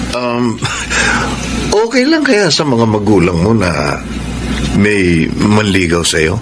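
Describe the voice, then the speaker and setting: A man's voice: lowish voice, sing-song, takes it slowly, background noise. A man with a Filipino accent playing a shy, earnest, well-off college student courting someone in a radio drama. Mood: gentle. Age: young adult.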